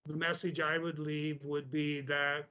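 A Canadian man guest on a podcast, speaking in a halting, earnest way. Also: fairly high pitch, level tone, sharp tone, highs cut off. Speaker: guest, mentor. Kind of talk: casual chat.

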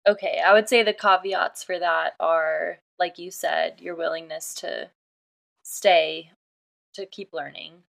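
A woman's voice: lowish voice, takes it slowly, vocal fry. A woman co-hosting a podcast in casual chat, often chiming in with short agreements. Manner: steady, frequent listener affirmations. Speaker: podcast co-host.

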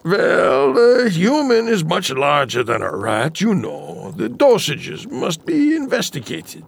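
A man's voice: fairly high pitch, huge pitch swings, takes it slowly, creaky, husky, heavily acted. An actor playing an eccentric, scheming male scientist with a theatrical manner in a campy audio drama. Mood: excited, nervous.

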